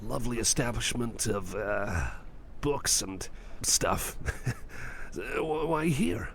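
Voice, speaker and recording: A man playing a shy, kind, anxious cyborg friend in an ASMR roleplay, speaking softly and breathily with hesitant, reassuring stammers, close to the microphone. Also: medium pitch, slow, a little hiss or hum. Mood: flustered, eager. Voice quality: soft, breathy, often whispering. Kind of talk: ASMR roleplay, personal attention.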